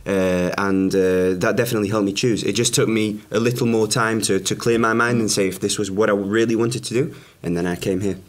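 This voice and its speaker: Young man, student, lowish voice, relaxed, hesitant. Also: brisk, few pauses. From Sicily.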